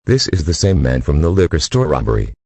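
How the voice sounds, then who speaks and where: Very deep, dark-voiced male emergency announcer. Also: very animated, fairly quick, breathy edge, dry recording. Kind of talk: scripted emergency broadcast announcement.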